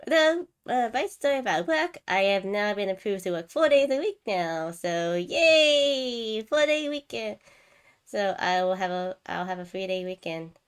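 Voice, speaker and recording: A woman's voice: mid-range voice, animated, normal speed, clear, resonant tone. A woman hosting a game livestream, chatting casually and rambling as she thinks aloud. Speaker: game streamer, host.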